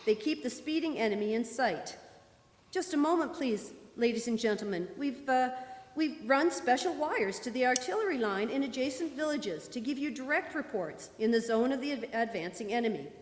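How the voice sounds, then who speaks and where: A woman plays a news announcer in a radio drama, reading bulletins formally and with measure. Her voice is somewhat high. It carries through a big hall with long reverberation. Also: expressive, normal speed, close to an everyday voice. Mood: composed, hurried. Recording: echoey church hall, live performance.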